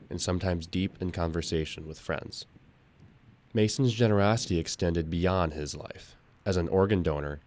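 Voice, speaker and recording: A man's voice: sits low, darkish tone. A young man narrating scripted news packages as a student TV reporter. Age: young adult.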